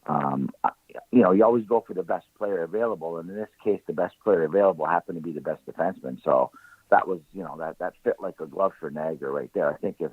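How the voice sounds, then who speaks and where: A man with a very deep, husky voice talks as a sports guest over a narrow, phone-like line. He analyses conversationally, repeating phrases for emphasis, and the sound is dry and close. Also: dry recording. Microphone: phone call-in. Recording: muffled narrow-band phone call, radio-like.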